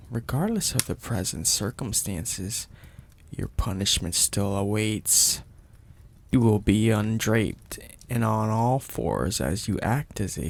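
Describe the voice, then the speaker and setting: Man voicing a slow, gravelly, possessive mafia boss. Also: on the low side, heavily acted. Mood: taunting, threatening. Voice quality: gravelly, breathy, husky. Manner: slow, deliberate, menacing, florid wording. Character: possessive, domineering crude male mafia boss, simmering and teasing. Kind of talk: intimate ASMR roleplay monologue.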